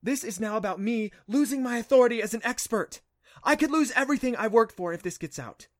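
A man voicing a scolding mother, raised and pitched up. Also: expressive, brisk, a bit bright. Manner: scolding, exasperated. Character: stern worried mother archaeologist, middle-aged woman.

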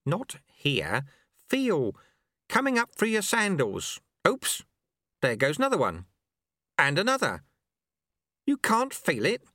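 Male reader as elderly monk mentor, chatty, fussy, exclamatory, raised pitch, very animated swoops, storybook character. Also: takes it slowly, pauses often, no echo, clean studio sound, exaggerated voice. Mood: alert, fussing.

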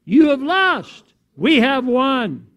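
A man's voice: very high-pitched, sing-song, slow, a bit bright, no room sound, clean studio sound, voice pushed up, heavily acted. A man voicing a triumphant divine lion in a sermon, proclaiming victory in a chant.

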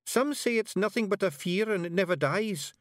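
Wee young scottish fairy bard, really high voice, earnest storybook explaining, bit halting. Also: male voice, expressive, dry recording. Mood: thoughtful, hesitant.

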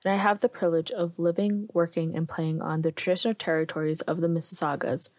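Low-voiced woman hosting. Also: steady tone, darkish tone, dry recording. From Canada. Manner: reads a prepared introduction, measured and formal. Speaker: podcast host and interviewer. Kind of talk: podcast interview.

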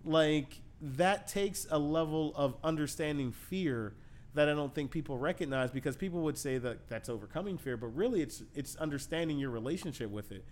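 A man hosting a casual conversation, thinking out loud and asking questions, often laughing. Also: fairly high pitch, normal speed. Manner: reflective, laughs often, uses "like" and "right?". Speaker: podcast host.

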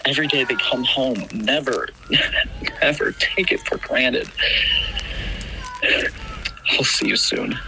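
An actor playing a doomed cult member recording a halting, emotional and tender farewell in a radio drama. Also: male voice, mid-range voice, huge pitch swings, creaky, heavily acted. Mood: tearful, resigned. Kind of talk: radio play monologue. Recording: noisy tape recorder with background sounds.

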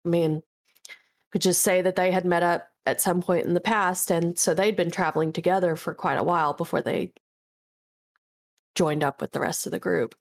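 A woman with a cold, sniffly, talking casually and haltingly about her tabletop characters, clearing her throat often. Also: fairly low pitch, steady tone. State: sick, sniffly. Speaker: podcast guest.

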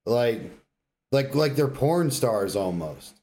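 A man's voice: medium pitch, animated, slow, dark tone. A man in casual podcast banter, blunt and slangy, swearing freely. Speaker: podcast co-host. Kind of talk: casual banter about game sounds.

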